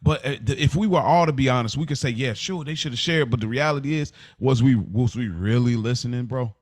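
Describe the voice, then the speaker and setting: An American man talks casually as a co-host on a podcast. His voice is of average male pitch. The recording is dry, without room reflections. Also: brisk, no echo. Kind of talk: casual conversational podcast talk.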